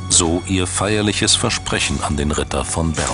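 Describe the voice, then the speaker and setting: Deep-voiced man narrating a documentary. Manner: measured, dramatic storytelling. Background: background music.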